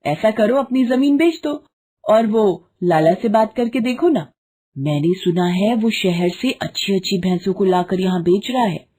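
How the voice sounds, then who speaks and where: A nagging, ambitious village wife from a cartoon moral tale, acted by a woman. She is insistent and scolding, with a soft-toned, slightly husky voice that pushes upward in pitch. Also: expressive, a little slow. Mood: nagging, urging. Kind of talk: animated children's cartoon story dialogue.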